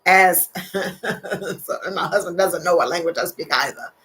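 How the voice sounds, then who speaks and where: A woman's voice: lowish voice, a little slow, a bit bright. An older woman hosting a casual livestream, chatting with viewers, laughing often and repeating her phrases. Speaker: livestream host. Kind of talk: casual live chat reading viewer comments.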